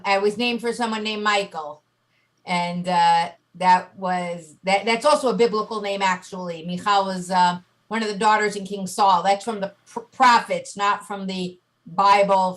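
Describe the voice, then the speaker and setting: A woman, likely from the United States, chatting on a video call and explaining things in a talkative way. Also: medium pitch. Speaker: chat participant. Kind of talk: casual video call chat.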